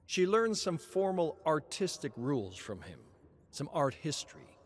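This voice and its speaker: A man narrating a story in a measured, expressive reading. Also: on the high side. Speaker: lore narrator.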